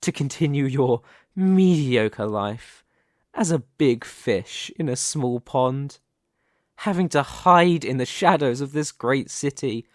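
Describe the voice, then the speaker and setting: Casting: ambitious young mafia boss played by a man, mid-pitched, theatrical and possessive, measured pace, for an ASMR audio drama. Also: no echo, studio quality, lightly acted. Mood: grandiose, persuasive. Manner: theatrical, deliberate, condescending, with pointed pauses. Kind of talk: ASMR roleplay, dramatic monologue to the listener.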